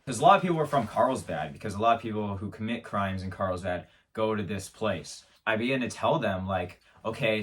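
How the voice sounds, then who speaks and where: Man host with a lowish voice, stammering through a deadpan tall tale, mic set back from him. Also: far from the mic. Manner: rambling, stammering restarts, mock-serious. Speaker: show host. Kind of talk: absurd comedic monologue.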